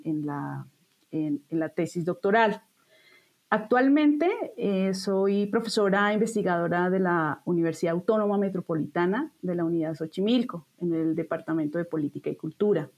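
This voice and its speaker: A woman's voice: lowish voice, a little mellow, lo-fi sound. Hesitant woman academic. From Colombia. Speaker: academic historian, interview guest. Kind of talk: interview self-introduction.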